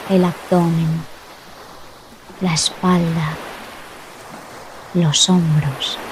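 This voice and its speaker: A woman guides a relaxation meditation in a gentle, soothing voice, set a little low. She speaks very slowly in short phrases, leaving long pauses. Clearly audible sea waves wash behind her throughout. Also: slight background noise. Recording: loud ocean waves behind the voice. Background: sound of sea waves.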